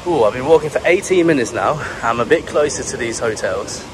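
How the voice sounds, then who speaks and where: Man, British accent, monotone, relaxed walking commentary, middle pitch, outdoor beach, loud surf and wind noise. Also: fairly quick. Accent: British English. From England. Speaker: travel vlogger. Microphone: handheld camera carried while walking. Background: ocean waves and breeze.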